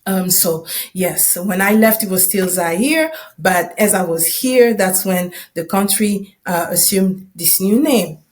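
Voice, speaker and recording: A woman's voice: mid-range voice, slow, few pauses. A woman, an English speaker, telling a personal story to listeners as a podcast host in a conversational way, often checking in as she goes. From Democratic Republic of the Congo. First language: not English. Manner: conversational, checking in with "right?" and "you know". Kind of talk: personal testimony told to listeners.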